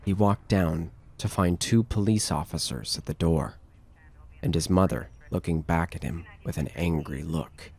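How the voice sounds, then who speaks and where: A man's voice: sits low, unhurried, vocal fry, darkish tone. A man reading scripted narration for a horror audio drama.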